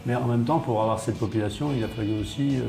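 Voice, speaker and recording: Male mayor in interview, gravelly low voice, explanatory and enumerating, roomy recording with guitar music behind. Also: fast. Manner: matter-of-fact, enumerating, repeats and rephrases. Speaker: small-town mayor being interviewed. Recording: roomy, echoey sound under guitar music.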